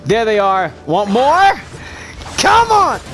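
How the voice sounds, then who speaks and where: Gamer hyping up gameplay, voice swings all over, unhurried, game music behind. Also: mid-range voice, huge pitch swings, a bit bright. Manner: exclamatory, self-hyping, reacts aloud. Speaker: gamer, video host. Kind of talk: excited gameplay commentary.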